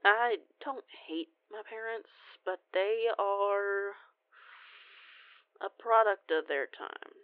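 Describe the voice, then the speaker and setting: A woman's voice: medium pitch, slow pace, smooth, no echo. Woman acting a rambling young cousin, tinny phone sound. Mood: frank, weary. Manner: sheepish, rambling, folksy, mildly foul-mouthed. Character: young cheeky rambling werewolf cousin, female, pleading for favour. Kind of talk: one-sided audio roleplay phone call. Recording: tinny band-limited phone line, very dry.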